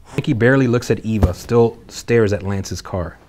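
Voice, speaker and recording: A man reads stage directions aloud at a table reading in a lowish voice. He keeps it flat and matter-of-fact. A faint hiss sits behind him. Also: moderate pace.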